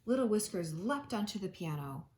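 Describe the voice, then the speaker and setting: A woman teacher reading a children's storybook aloud, with expressive delivery and dramatic emphasis. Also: medium pitch, normal speed.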